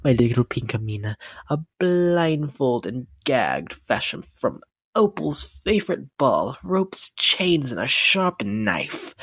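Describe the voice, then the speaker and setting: Genteel deranged pony lady for horror audio drama: husky whispery melodrama, dry close sound, music bed. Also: rumbling low, no echo, heavily acted. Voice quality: breathy, often dropping to a whisper. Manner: theatrical, genteel, drawn-out delivery. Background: background music.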